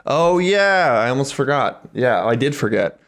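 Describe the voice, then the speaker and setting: A man's voice: medium pitch, sing-song, measured pace, fairly bright tone. Chill guy on a podcast, casual agreeable chat, normal mid voice. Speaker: podcast co-host.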